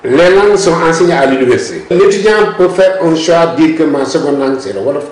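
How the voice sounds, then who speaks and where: A man's voice: fairly high pitch, expressive, a little fast, noisy background. A man, an expert guest who explains at length and insists on his points, often cutting in with repeated words. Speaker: language policy expert guest. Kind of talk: discussion interview. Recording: roomy echo.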